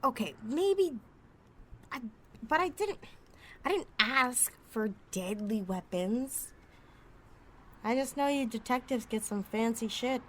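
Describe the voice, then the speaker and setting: A woman's voice: a little high, lively intonation, slow pace, long pauses. A woman playing a cocky, wisecracking outlaw thief with a sassy, sarcastic, foul-mouthed delivery in an ASMR roleplay audio drama. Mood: sulky, teasing.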